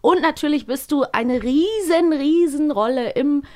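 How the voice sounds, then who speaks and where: A woman's voice: sits high, measured pace, a little mellow. A woman co-hosting a podcast, chatting and introducing the guest with effusive enthusiasm. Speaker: podcast co-host.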